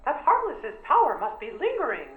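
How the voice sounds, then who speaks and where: An actor voicing a small, earnest, kindly cartoon mouse king in a squeaky falsetto, encouraging and sincere. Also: huge pitch swings, normal speed, echoey room, narrow, tinny audio, soft background music, exaggerated voice.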